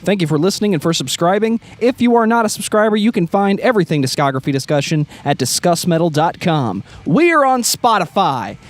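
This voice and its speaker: A man with a fairly high voice co-hosts a podcast. He talks casually, with the upbeat patter of someone plugging a show. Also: sing-song, fairly quick, few pauses. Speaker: podcast co-host. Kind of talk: casual podcast hosting and plugs.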